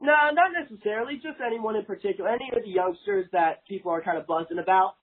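A man's voice: high-pitched, fast talker, breathy edge. A young man asking questions in a casual sports chat as a podcast interviewer. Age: young adult. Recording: tinny, narrow phone-like sound.

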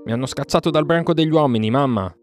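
A man's voice: fairly low pitch, normal speed, over music, lightly acted. A man voicing a proud, bold boy raised in the jungle, speaking emphatically in a storybook reading. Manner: emphatic, declamatory.

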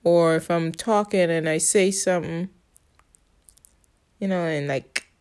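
A woman's voice: on the low side, steady tone, unhurried, a little mellow. A woman from the Bahamas with a Bahamian accent, rambling in a casual monologue, blunt and profane, with an exasperated edge. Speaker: vlogger.

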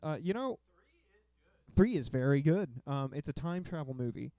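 A man with a dark, relaxed voice talks casually as a podcast co-host. His speech is slangy and sprinkled with swearing, like someone chatting with friends. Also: fairly high pitch, normal speed, dry recording. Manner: profane, slangy. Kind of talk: casual chat about movies with friends.

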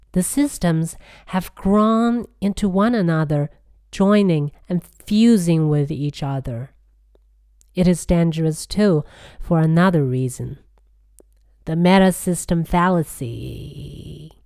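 A woman podcast host with a husky, nasal voice, delivering a scripted comic monologue in a grandiose, deliberate manner. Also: on the low side, mellow, dry recording, studio quality.

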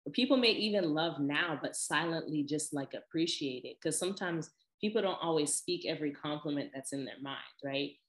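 Deep-voiced young woman, chatty and echoey. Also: moderate pace, few pauses. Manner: chatty, laughing, expressive asides. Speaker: vlogger. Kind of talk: casual personal storytelling to camera.

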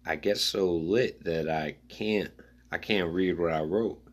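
A man musing aloud in a rambling spoken-word style, reading his own notes in halting fragments. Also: sits low.

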